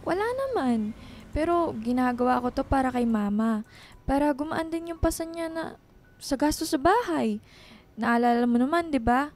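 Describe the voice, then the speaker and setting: A dutiful young Filipina daughter in a radio drama. Her voice is high, and she speaks earnestly, staying respectful yet firm. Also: animated, normal speed, a little mellow. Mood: earnest, troubled. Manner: earnest, polite but firm.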